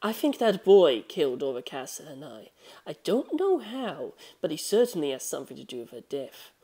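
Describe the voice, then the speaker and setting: A narrator voicing an earnest, anxious teenage schoolboy in an audiobook mystery, speaking deliberately. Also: mid-range voice, sing-song, mellow, slightly rough. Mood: grave, worried.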